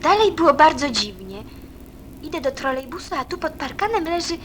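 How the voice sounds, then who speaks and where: An actress playing an eager, chatty, warm-hearted young woman in a radio play, lively and girlish. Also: sits high, normal speed, a little hiss or hum.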